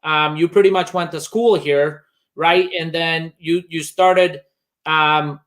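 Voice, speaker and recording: A man's voice: on the high side, steady tone, takes it slowly, a bit bright. A man from Bosnia hosting a conversational interview in a reflective way. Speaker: podcast host interviewing a guest.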